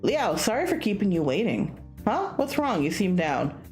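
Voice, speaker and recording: Woman playing a breezy, protective young senior guy, animated. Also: mid-range voice, moderate pace, echoey room, soft background music, close to an everyday voice. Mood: breezy, reassuring.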